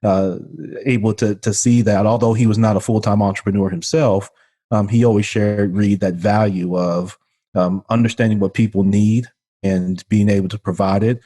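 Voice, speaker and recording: A man's voice: on the low side, steady tone, normal speed, warm tone. A man, talking earnestly as a podcast guest and leaning on "you know" fillers. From Memphis, Tennessee. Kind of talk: casual interview talk. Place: home room. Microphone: studio condenser mic with pop filter.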